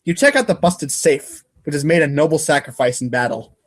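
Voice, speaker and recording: Higher-voiced man, theatrical wry narrator. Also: lively intonation, fairly quick. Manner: theatrical, wry, stumbles over long words. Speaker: narrator of a comedic reader's theater podcast. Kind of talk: dramatic reading with second-person narration.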